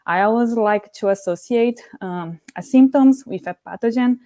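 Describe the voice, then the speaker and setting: A woman's voice: a little high, moderate pace, mellow, dry recording. A young woman from Brazil with a Brazilian Portuguese accent, answering interview questions carefully with many "like" and "uh" fillers. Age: young adult. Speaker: graduate student guest.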